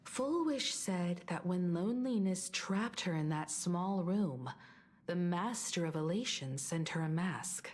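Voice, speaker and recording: A resolute young fighter from a game, cool and deliberate. Her voice sits a little low, with a sharp-minded delivery. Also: lively intonation. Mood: cool, explanatory. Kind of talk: video game voice acting.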